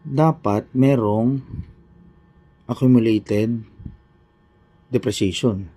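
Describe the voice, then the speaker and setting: A man's voice: medium pitch, drawn-out words, dark tone. A man with a Filipino accent teaching an informal accounting lesson, thinking aloud step by step and repeating himself as he works through an example.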